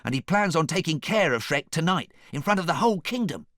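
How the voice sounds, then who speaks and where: A man voicing an excitable, chatty donkey for a storybook, with an emphatic, slangy way of talking. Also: on the high side, a little fast, dry recording, clean studio sound, voice pushed up. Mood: urgent. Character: excitable, chatty male donkey trapped in cat's body.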